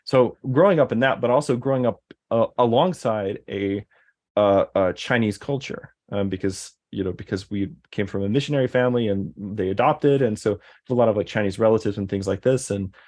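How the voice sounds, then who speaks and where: A man's voice: fairly low pitch, brisk, darkish tone. A man in casual conversation, rambling, with many fillers and restarts. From American Deep South. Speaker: guest.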